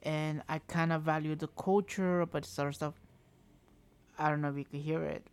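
A man's voice: a little high, steady tone, normal speed, clean tone, no echo. A man in his thirties telling a personal story for his video series in a candid, rambling way, often saying "trust me" and sometimes cursing. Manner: rambling and frank, leaning on "trust me" and "like"; occasional mild cursing. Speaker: vlogger. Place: indoors at home, construction noise nearby.

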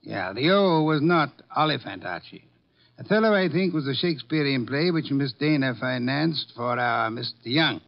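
An actor playing a pompous, imperious detective boss with precise, ornate speech in a 1950s radio drama. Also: male voice, mid-range voice, animated, moderate pace, vocal fry. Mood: stern, commanding. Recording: dull lo-fi 1950s radio recording.

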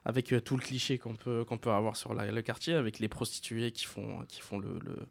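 A man's voice: medium pitch, fairly even intonation, fairly quick, gravelly. A man in his thirties from Algeria, talking candidly as a guest in a casual conversation and telling personal stories.

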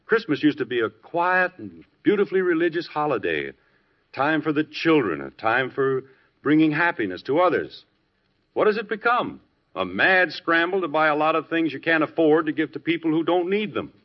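A man's voice: a little high, sing-song, normal speed. An American actor playing a wry, nostalgic middle-aged husband and father in a 1950s radio sitcom. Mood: wistful, exasperated. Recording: muffled lo-fi 1950s radio recording.

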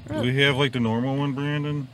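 A man's voice: mid-range voice, steady tone, fairly quick, noisy background. A middle-aged man co-hosting a casual podcast, joking around in a room without acoustic treatment. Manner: joking, shouts while singing rock. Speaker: podcast co-host. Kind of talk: casual chat and loud karaoke singing. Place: home recording setup without acoustic treatment. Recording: loud music under the voice. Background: loud music.

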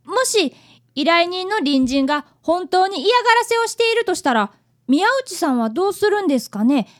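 A woman's voice: very high voice, very animated, moderate pace. A woman playing an earnest, polite fifth-grade boy apprentice detective who narrates a radio drama. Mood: earnest, worried.

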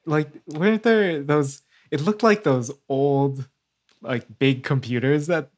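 Man musing softly in casual chat. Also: a little high, slow pace.

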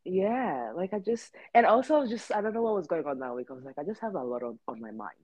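A woman's voice: lowish voice, animated, fast, breathy edge, dry recording, dull audio. A woman in her twenties from Rwanda, co-hosting a casual podcast chat and rambling with many fillers and restarts. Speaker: podcast co-host.

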